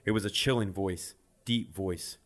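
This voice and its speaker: A man's voice: sits low, measured pace, fairly bright tone. A man reading a scripted first-person creepypasta as a horror story narrator. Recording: music behind the voice.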